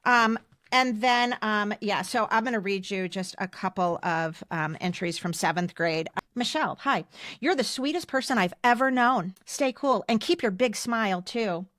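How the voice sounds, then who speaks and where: A woman's voice: mid-range voice, moderate pace, fairly bright tone, no room sound, clean studio sound. An American woman in her fifties, a podcast co-host telling stories casually with wry emphasis. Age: 50s. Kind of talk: casual, chatty storytelling.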